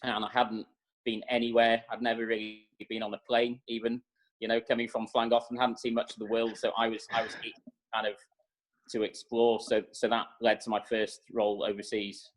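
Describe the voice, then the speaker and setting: A man in his thirties talks over a video call with a flat, even tone. He answers at a steady pace, with many fillers and small restarts. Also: mid-range voice, brisk, rough recording. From North Wales. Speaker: executive chef, guest. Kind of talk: interview answers on a webinar.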